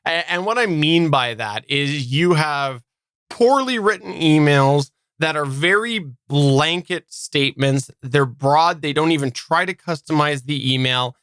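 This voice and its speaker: A man co-hosting a casual live podcast chat, often repeating words haltingly as he works through a thought. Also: fairly high pitch, expressive, a bit bright, clear, resonant tone, no echo. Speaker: podcast co-host.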